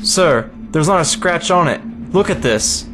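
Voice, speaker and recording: Man as wry American infantryman, slow talker. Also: fairly high pitch, animated, over music. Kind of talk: audio drama acting.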